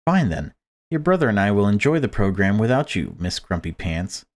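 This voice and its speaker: A man's voice: on the low side, big pitch swings, moderate pace. A man voicing a fretful mother in a visual novel, prim and chatty, with insistently upbeat small talk. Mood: coaxing, then miffed. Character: fretful, forcedly cheerful mother of a family.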